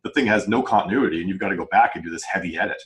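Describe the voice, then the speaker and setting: A man's voice: low-pitched, very fast. A man speaking as a novelist on a podcast panel, chatting casually and explaining earnestly in a rambling way, often doubling back to rephrase.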